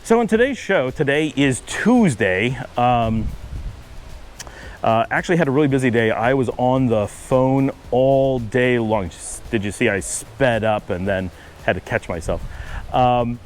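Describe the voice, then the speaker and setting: Fast-talking man with a Buffalo accent. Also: mid-range voice. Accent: Buffalo-Cleveland American. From upstate New York. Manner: fast-talking, trying to slow down. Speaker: vlogger. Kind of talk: casual walking vlog. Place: outdoors on a windy street.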